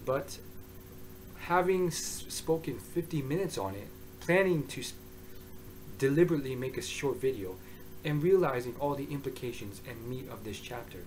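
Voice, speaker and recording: A man giving a casual, rambling review monologue, hoarse and excitable, often restarting his sentences. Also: medium pitch, moderate pace, a little hiss or hum, low-quality recording. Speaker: manga reviewer.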